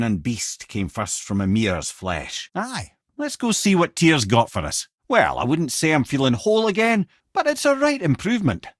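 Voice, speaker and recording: An old Scottish talking head from a fantasy game, wise and wry. His pitch swings freely as he speaks like an eloquent, avuncular storyteller, in a close, dry recording. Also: a bit bright, no room sound, full character voice. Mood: cheerful, explaining. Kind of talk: video game character acting, storytelling.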